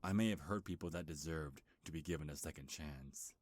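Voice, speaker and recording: A man's voice: rumbling low, fairly quick, no room sound. A man playing an ancient, bitter, grieving beast king in a dramatic ASMR roleplay, brooding and menacing with heavy sighs. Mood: grieving, regretful.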